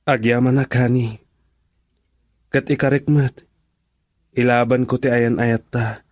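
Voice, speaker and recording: A man plays an affectionate boyfriend in a radio drama. His medium-pitched voice is tender and earnest, with an Ilocano accent. The sound is close and dry. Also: fairly even intonation, measured pace, darkish tone, no room sound, natural voice. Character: devoted boyfriend, documentary cameraman, affectionate and earnest.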